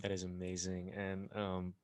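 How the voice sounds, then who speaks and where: A man's voice: deep voice, monotone, moderate pace, clean tone. A man with a Dutch accent hosting a casual podcast interview, speaking hesitantly with lots of fillers and restarts. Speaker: podcast host.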